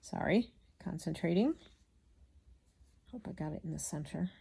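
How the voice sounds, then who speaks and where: A woman chatting casually as she hand stitches, rambling and absent-minded, muttering to herself, with a slightly gravelly voice. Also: fairly low pitch, lively intonation, moderate pace, dark tone. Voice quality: slightly gravelly from a frog in the throat. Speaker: craft vlogger.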